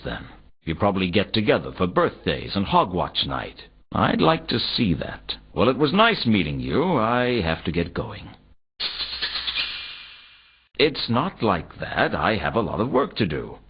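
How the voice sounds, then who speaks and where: A dull, lo-fi game recording of a world-weary male detective. His low voice swings widely in pitch as he delivers sardonic, deadpan noir lines. He is a hardboiled investigator from a fantasy adventure game. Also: measured pace, slightly rough, heavily acted. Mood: sardonic, probing. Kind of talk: video game dialogue and noir narration. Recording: dull lo-fi game audio, faint noise.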